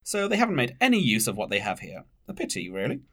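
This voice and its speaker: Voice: mid-range voice, huge pitch swings, quick pace, a bit bright, full character voice. An actor in an audio drama playing a polite, reserved time-travelling train captain with formal, slightly awkward phrasing. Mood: wry.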